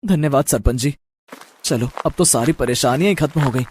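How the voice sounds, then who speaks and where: A man's voice: mid-range voice, moderate pace, a little mellow, slightly rough, close to an everyday voice. A man voicing a polite, hardworking young village son in a cartoon story, speaking in an earnest, exclaiming manner. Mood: happy. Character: hardworking, dutiful young samosa-maker son, eager and polite.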